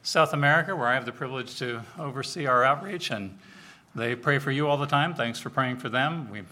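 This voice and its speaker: A man's voice: mid-range voice, a little fast. A man preaching to a congregation in an informal, conversational way, telling personal stories and acting out the dialogue. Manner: conversational, animated storyteller, acts out dialogue. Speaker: preacher, overseer of church outreach. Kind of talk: sermon with informal storytelling to a congregation.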